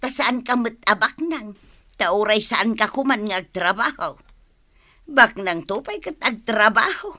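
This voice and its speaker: Sharp-voiced Filipino village wife, nagging. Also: female voice, a little high, animated, measured pace, heavily acted. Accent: northern Filipino. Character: hardworking, nagging village wife selling rice cakes. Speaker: radio drama actor. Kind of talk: comedic radio drama.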